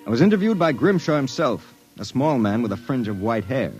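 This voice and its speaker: An actor playing a cool, wry private investigator, matter-of-fact in speech and narration, in a 1950s radio drama. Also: male voice, medium pitch, big pitch swings, brisk, darkish tone, dull audio, soft background music, natural voice. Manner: matter-of-fact, hard-boiled.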